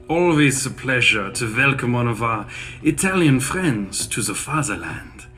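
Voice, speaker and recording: A German champion racer, the villain of a video game, formal and falsely courteous. The German-accented voice is sharp and animated, with faint music behind it. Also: male voice, medium pitch. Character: smooth, menacing German racing champion villain. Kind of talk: video game cutscene acting.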